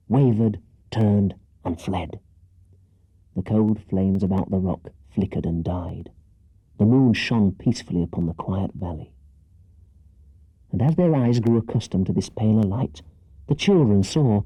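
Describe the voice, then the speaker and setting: A man narrating a children's story as an audiobook reader, with dramatic, scripted delivery and a husky voice. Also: on the low side, mellow, no room sound, muffled recording.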